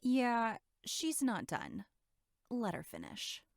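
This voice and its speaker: A woman's voice: a little high, huge pitch swings, slow pace, gravelly, no echo, studio quality, full character voice. A woman playing a sarcastic, snippy young female camp counselor in a horror audio drama, speaking in a curt, sardonic way.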